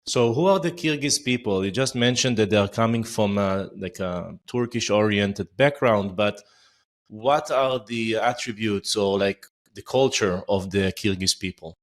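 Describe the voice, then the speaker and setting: Podcast host guy, lowish voice, asks questions slow and careful, some uhs. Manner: measured questions with fillers. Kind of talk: interview questions.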